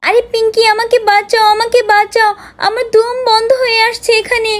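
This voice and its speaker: Woman voicing a very high-pitched frightened little child doll. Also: level tone, brisk, slight background noise. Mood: panicked, pleading. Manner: urgent, pleading, exclamatory. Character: frightened young village child doll screaming for rescue from a mummy. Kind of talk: children's doll story voice acting.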